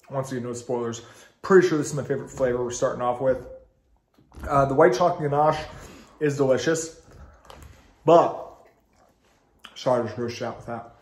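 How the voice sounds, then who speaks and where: A man in his thirties talks straight to the camera in an upbeat, chatty way. His nose is stuffed up from congestion. His voice sits at an ordinary pitch and carries a roomy echo from a small room at home. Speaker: ice cream reviewer. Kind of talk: casual video review.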